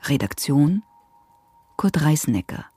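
A woman's voice: sits low, big pitch swings, a little slow, dark tone, soft background music. A woman reading scripted credits as the announcer of a radio play.

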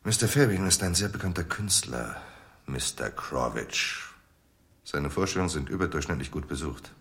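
Ein Schauspieler spielt in einem Hörspiel einen höflichen, reservierten Presseagenten, der bedächtig antwortet. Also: male voice, very deep voice, lively intonation, moderate pace, a bit bright, full character voice. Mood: guarded. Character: smooth, guarded male press agent and manager. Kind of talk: radio drama acting.

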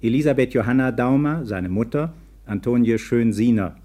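A man reading a formal, scripted announcement as a radio announcer, measured and precise. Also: medium pitch, warm tone.

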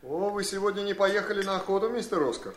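An actor playing a deferential, chatty, wry house servant in a radio drama, polite yet folksy and needling. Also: male voice, high voice, fast talker, roomy sound, a little hiss or hum, natural voice. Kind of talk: theatrical radio drama acting.

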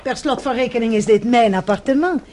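A woman's voice: fairly high pitch, brisk, darkish tone, lightly acted. Self-possessed actress as young Frenchwoman. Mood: assertive, polite. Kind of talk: radio play acting in Dutch.

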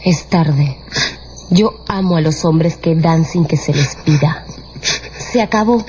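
An actress playing a capricious, volatile young courtesan in an impassioned, theatrical radio drama style. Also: female voice, low voice, takes it slowly, background noise. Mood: scornful, fed up. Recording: dull radio production with music underneath.

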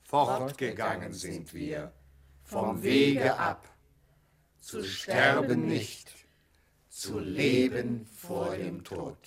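A man's voice: medium pitch, lively intonation, extremely slow, crowd noise. An actor playing a mournful dead man in a poetic radio play chorus, declaiming like an incantation. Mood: mournful, incantatory. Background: music.

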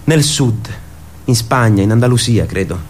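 An actor playing an excited, earnest boy who dreams of flight, in a radio theatre piece. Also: mid-range voice, moderate pace, slight background noise, lightly acted. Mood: eager.

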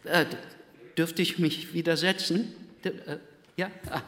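A man's voice: on the high side, fairly even intonation, unhurried, a bit bright. A man acting a servile, nervous philosophy professor in a radio play, with obsequious, pedantic and flowery speech. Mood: timid, servile. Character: servile, nervous philosophy professor pleading before a high court. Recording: large hall with long reverb.

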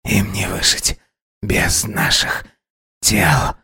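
A man voicing a dying, possessed man in a horror story, slow and halting, with a weak, husky, gasping voice. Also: deep voice, bright, forceful tone, no echo, studio quality, exaggerated voice. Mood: weak, desperate. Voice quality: husky, gravelly, whispered in places. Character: dying mutilated man, later a possessed speaking corpse.

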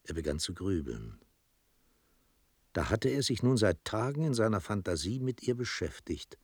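A man with a husky voice narrating a literary radio play in a dramatic storytelling style. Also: lowish voice, sing-song, moderate pace, dry recording, studio quality.

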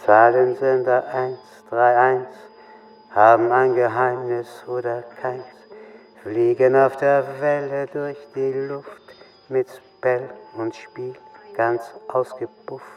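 A male performer of playful, cryptic verse, acted for a radio play. He delivers it very slowly and rhythmically at a middle pitch, in a slightly dull recording over music. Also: level tone, natural voice.

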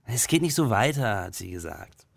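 A man's voice: fairly low pitch, very animated, moderate pace, gravelly, no echo, exaggerated voice. Evasive young man, close dry sound. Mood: reluctant. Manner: terse, evasive, casual. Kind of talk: radio drama acting.

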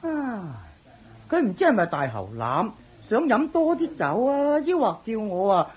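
A woman's voice: fairly high pitch, very animated, measured pace, a little mellow, husky, full character voice. A woman voicing a smug, wealthy male master of the house in a radio drama, chuckling and ordering his servants about. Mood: amused. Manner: smug, self-satisfied, chuckling, commanding servants.

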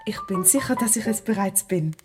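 An actress playing a modest, grateful young housemaid in a radio drama, polite and wistful. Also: female voice, mid-range voice, expressive, a little fast, natural voice. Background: music.

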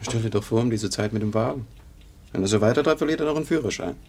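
A man's voice: fairly low pitch, fast, a little mellow, slight background noise, lightly acted. An actor playing a blunt, brash young man, a womanizing brother, in a 1950s German radio drama. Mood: blunt, wry. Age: young adult.

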